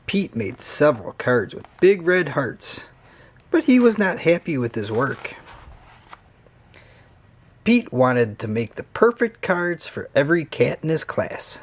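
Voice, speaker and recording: A man narrating a children's read-along story in an expressive, swooping storytelling manner. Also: on the high side, a little slow.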